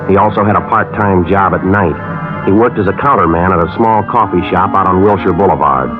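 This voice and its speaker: A man's voice: deep voice, brisk, lightly acted. An American man acting a stoic, terse police sergeant in a 1950s radio drama, clipped and matter-of-fact. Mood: flat, factual. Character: stoic male police sergeant detective, middle-aged, terse and businesslike. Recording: tinny old radio recording, music behind.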